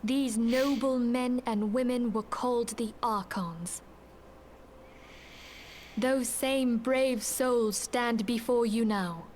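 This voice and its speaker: An earnest woman who leads a secret order, as a streamer reads her, speaking formally and evenly in a slightly higher voice. A faint hiss sits under the recording. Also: a little mellow. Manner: formal, measured storybook reading.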